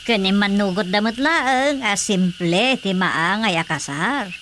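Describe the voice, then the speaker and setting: A woman's voice: mid-range voice, expressive, a little slow, a bit bright, a little hiss or hum. A woman playing an older, knowing village wife who chats and gossips with her husband in a radio drama. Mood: matter-of-fact.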